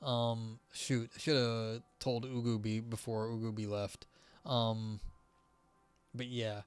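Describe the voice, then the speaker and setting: A young man chatting casually on a live stream, rambling with many fillers and restarts, his voice starting to go hoarse. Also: mid-range voice, level tone, slow, no room sound. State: voice going hoarse.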